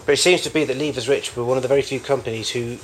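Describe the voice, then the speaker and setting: A man giving an informal, unscripted show-and-tell as a collector of old machines, in a halting, rambling way with frequent self-corrections. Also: medium pitch, fairly even intonation, soft background music, rough recording. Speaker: collector showing vintage tape recorders.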